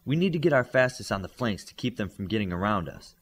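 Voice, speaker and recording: A man reading the part of a bossy, analytical child who directs a superhero team, in brisk instructions. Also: fairly low pitch, sing-song, gravelly, husky, exaggerated voice. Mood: confident, tactical.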